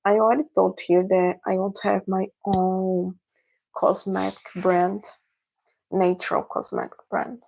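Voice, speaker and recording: Brazilian livestream host, young woman, gravelly voice, halting English, relaxed chat. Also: medium pitch, poor audio. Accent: Brazilian Portuguese. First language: Portuguese. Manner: halting, searching for English words. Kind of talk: casual livestream Q&A, answering chat.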